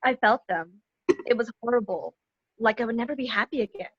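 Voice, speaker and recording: Nervous woman witness acted by a woman, highish, hesitant, earnest and shaken, dull muffled recording, table-read drama. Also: quick pace, a bit bright, slightly rough. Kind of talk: amateur online table read of a screenplay.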